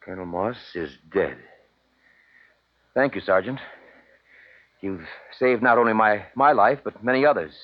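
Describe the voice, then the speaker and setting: A man's voice: lowish voice, unhurried, long pauses. A man playing an earnest, humane professor and father in 1950s radio drama, emphatic and theatrical. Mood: subdued, solemn. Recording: dull old radio recording.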